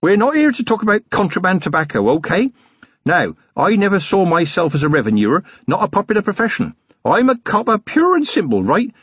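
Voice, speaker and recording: A man's voice: very animated, a little fast, dry recording, lo-fi sound, full character voice. A man playing an eager young village constable. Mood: zealous. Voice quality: high and forceful, rough working-class talk.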